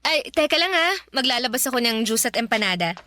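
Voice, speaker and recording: A woman's voice: on the high side, animated, brisk, pushed and bright. An actress playing an affectionate, playfully teasing young live-in girlfriend in a Filipino radio drama, speaking colloquially. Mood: cheerful, welcoming. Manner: teasing, laughs easily, colloquial.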